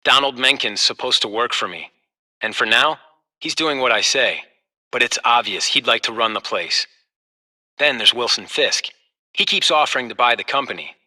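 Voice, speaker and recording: Game drama: embattled young executive, suspicious and bitter, sharp bright edge, mid pitch. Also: male voice, normal speed. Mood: bitter, wary. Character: embattled, distrustful young heir running a corporation. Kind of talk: dramatic video game monologue.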